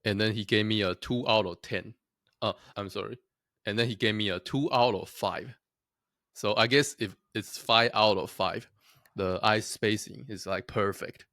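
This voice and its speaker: A man's voice: lowish voice, steady tone, normal speed, bright, forceful tone, no echo, clean studio sound. A young man from Taiwan talking casually to his viewers, chatty and self-deprecating, often laughing at himself. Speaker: vlogger. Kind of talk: casual talk to camera.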